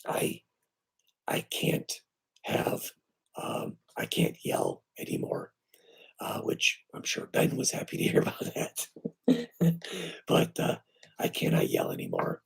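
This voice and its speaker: A man with weak, breathy and raspy vocal cords, hoarse and short of breath, hosting a casual live stream from home on his computer and talking haltingly with many fillers. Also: a bass voice. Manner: halting, with many fillers and restarts, lively when greeting viewers. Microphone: computer microphone.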